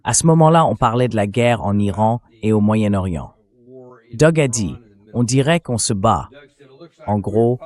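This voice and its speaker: A man speaking in the even style of a translated, dubbed political talk show. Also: fairly low pitch.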